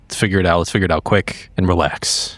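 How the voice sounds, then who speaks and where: A man's voice: low voice, steady tone, fast, a bit bright, no room sound. A man playing a tabletop roleplaying game, improvising casually in character.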